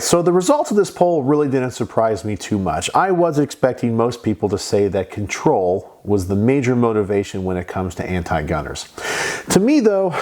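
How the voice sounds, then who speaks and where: A man hosting his own channel, talking casually to the camera, a bit raspy and stuffed up from a sinus infection, measured and explanatory. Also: medium pitch, darkish tone. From Texas. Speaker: channel host.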